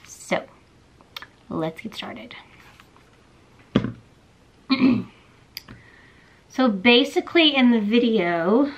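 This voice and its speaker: A young woman in her twenties chatting casually in a bedroom vlog, a little hoarse after losing her voice, with lots of "um" and "like". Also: medium pitch, a bit bright. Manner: chatty, many fillers like "um" and "like". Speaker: beauty vlogger. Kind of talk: casual product review vlog. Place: small bedroom, indoors. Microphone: camera in front at close range.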